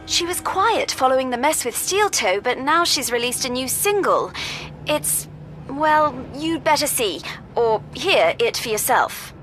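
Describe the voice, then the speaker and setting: A woman's voice: high-pitched, big pitch swings, a little slow, fairly bright tone, soft background music, exaggerated voice. A woman playing an earnest, fretful agency handler and technician in a video game, speaking politely. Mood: concerned.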